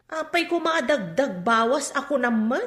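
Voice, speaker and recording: A woman's voice: high-pitched, animated, moderate pace, a bit bright. A woman playing a cheeky, nosy village gossip in a radio drama. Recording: roomy radio drama with music.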